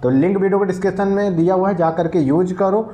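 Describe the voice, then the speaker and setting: A man's voice: high-pitched, quick pace, dull audio. A man presenting an informal promotional walkthrough, advising viewers in a conversational way. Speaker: presenter promoting an exam app.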